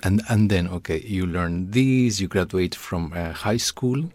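A male interviewer asking questions in English in a measured, encouraging way. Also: sits low, a little mellow. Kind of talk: conversational interview.

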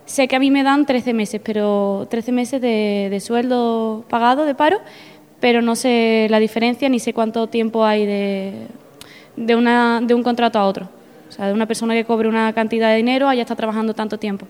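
A woman's voice: fairly high pitch, steady tone, normal speed, darkish tone, clear, resonant tone. A young woman, answering interview questions in a friendly, slightly hesitant way. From Spain. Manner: friendly, a bit hesitant, repeats words. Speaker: exchange student guest.

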